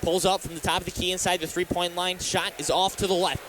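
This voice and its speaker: A man's voice: high voice, level tone, quick pace, noisy background. A young American man calling a game as a radio play-by-play announcer, live from an arena. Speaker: sports play-by-play announcer and reporter. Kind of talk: live radio sports commentary.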